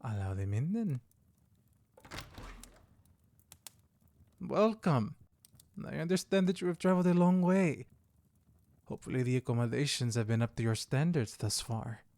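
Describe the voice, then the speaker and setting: A man playing a diplomatic elder of a vampire clan in an audio drama, formal, measured and polite, with a creaky voice. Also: medium pitch, very animated, darkish tone, a little hiss or hum. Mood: courteous, formal. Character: courteous, diplomatic youthful-looking male elder of vampire clan. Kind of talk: audio roleplay drama acting.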